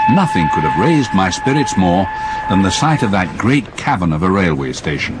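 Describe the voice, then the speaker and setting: An actor narrating as a man recalling a journey from his youth, calm and reflective in a radio drama. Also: lowish voice. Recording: old radio broadcast with audible hiss.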